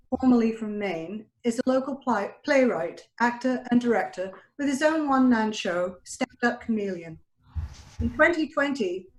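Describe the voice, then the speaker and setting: A woman presenting a program, reading a scripted introduction. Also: on the high side. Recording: roomy online video call sound.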